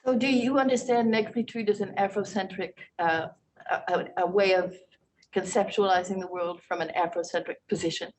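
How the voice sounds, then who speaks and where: A woman's voice: medium pitch, brisk, a little mellow, reverberant, a little hiss or hum, low-quality recording. A woman professor moderating a panel conversation, explaining thoughtfully with some fillers.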